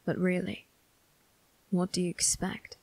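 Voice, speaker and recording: A woman performs a young male vampire crime boss for an ASMR roleplay, low and gravelly. He speaks slowly in a husky half-whisper, cold and possessive. His manner is measured, mocking and quietly menacing. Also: monotone, dry recording. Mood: condescending menace. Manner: slow, measured, condescending. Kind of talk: ASMR character roleplay addressed to the listener.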